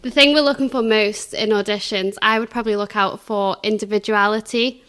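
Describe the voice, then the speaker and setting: A woman's voice: mid-range voice, steady tone, fairly quick, a bit bright, clean tone. A woman who is a dance captain, giving brief, upbeat answers as an interview guest.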